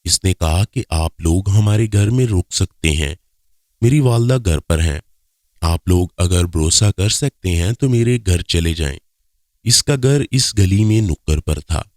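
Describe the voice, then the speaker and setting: A man's voice: sits low, expressive, measured pace, darkish tone, dry recording, studio quality. A man reading a scripted first-person story as its narrator.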